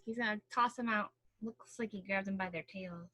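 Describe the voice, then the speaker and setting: A woman reading a picture book aloud in an expressive, chatty way, with casual explanatory commentary. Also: medium pitch, moderate pace, dry recording. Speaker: storybook reader.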